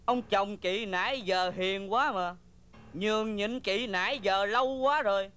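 An actor playing a bossy gangster-for-hire in a comic sketch, curt and commanding, with a Southern Vietnamese accent. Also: male voice, sits high, unhurried, a bit bright, smooth, faint music behind. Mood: menacing. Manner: curt, commanding, barking orders. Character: small, bossy gangster-for-hire demanding silence. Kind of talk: comic stage sketch acting.

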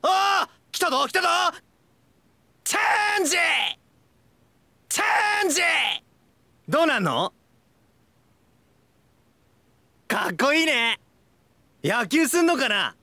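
A man's voice: very high-pitched, big pitch swings, dry recording, exaggerated voice. A man voicing a hyperactive, goofy, cheerful young man in an anime mobile game, with exaggerated delivery and abrupt bursts. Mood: cheerful, odd. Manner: manic, shouting, drawn-out yells, abrupt bursts.

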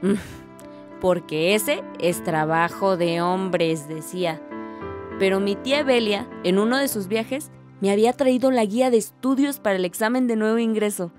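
A young village woman, played by an actress, tells her story in a radio drama. Her voice is medium in pitch and reflective, over soft music. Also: normal speed, close to an everyday voice. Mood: storytelling.

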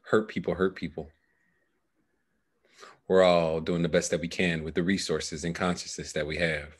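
A man in his thirties coaching a client over a headset, giving measured guided instructions. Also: low voice, level tone, breathy edge. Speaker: coach guiding a client through a meditation process. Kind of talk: guided meditation and coaching conversation. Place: small indoor room, video call. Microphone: headset microphone.

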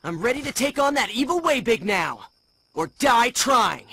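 A man's voice: extremely high pitch, sing-song, normal speed, pushed and bright, slightly rough, no room sound, heavily acted. An actor playing a cocky, determined teenage boy hero in a video game, brash and flippant. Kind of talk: video game cutscene voice acting.

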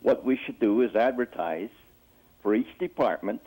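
A man's voice: mid-range voice, lively intonation, moderate pace, darkish tone. A man calling in to a live show, blunt and complaining. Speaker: caller. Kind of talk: phone-in call. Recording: phone line.

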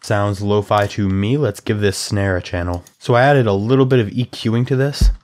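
A young man with a slightly raspy voice, explaining music production in a relaxed, chatty way from a small room at home. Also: on the low side, moderate pace. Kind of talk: casual tutorial walkthrough.

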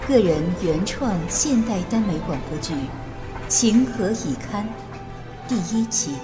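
A woman's voice: medium pitch, expressive, unhurried. A woman announcing titles in a scripted style for an audio drama. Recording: roomy echo, loud music over voice.

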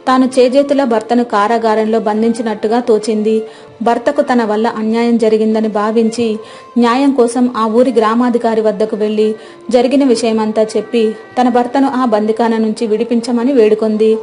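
A woman's voice: on the high side, level tone, fairly quick, dark tone, faint music behind. A woman narrating a scripted moral story as a storyteller.

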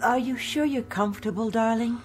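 An actress playing a placid, doting, oblivious wife in a radio drama, soothing and unruffled. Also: female voice, on the high side, normal speed, a little hiss or hum, close to an everyday voice. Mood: cheerfully fussing.

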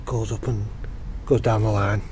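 A man, casually explaining his fishing rig in a relaxed, plain-spoken vlog, recorded outdoors by a lake on a camera mic. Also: lowish voice, normal speed, noisy background. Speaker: angler showing a fishing rig.

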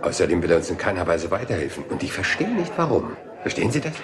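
Ein zerknautschter, beharrlicher Polizeiinspektor, der sich höflich und umständlich vortastet. Er redet rasch, mit tiefer Stimme und viel Auf und Ab in der Melodie. Im Hintergrund liegt ein deutliches Rauschen der TV-Tonspur. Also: male voice, low voice, big pitch swings, fast talker, noisy background. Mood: probing. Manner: meandering, polite, keeps asking one more question. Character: rumpled, humble, persistent police inspector. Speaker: police inspector. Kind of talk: German dub of a TV crime drama.

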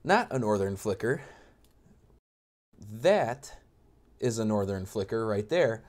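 A man's voice: on the low side, lively intonation, takes it slowly, darkish tone. A young man giving informal, joking, educational commentary over wildlife footage, with an enthusiastic manner. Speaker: amateur birder narrating wildlife footage.

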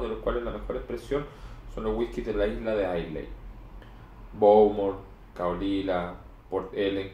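A man's voice: lowish voice, a little mellow. A man in his forties reviewing beer in casual chat, explaining at length with many fillers, recorded from a camera across a home room. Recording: echoey room with faint noise.

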